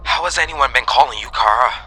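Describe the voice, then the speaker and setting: A man's voice: medium pitch, sharp tone, slight background noise, voice pushed up. A man playing an authoritative, matter-of-fact police officer on a call in a horror story. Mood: confused, grave. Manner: authoritative, brisk.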